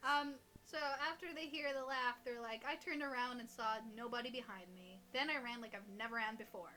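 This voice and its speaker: A woman's voice: on the high side, fairly quick, pushed and bright, a little hiss or hum. A young woman co-hosting a casual chat, reading creepy stories aloud in a giggly, teasing, irreverent way. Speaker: co-host reading listener stories.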